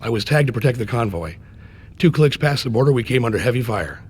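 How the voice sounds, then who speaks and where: A man's voice: mid-range voice, expressive, quick pace, a little mellow, breathy edge. A man voicing a steely, matter-of-fact soldier and covert agent in a video game cutscene. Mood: stoic. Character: steely male soldier turned covert agent, testifying calmly. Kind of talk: 1990s video game voice acting, testimony narration.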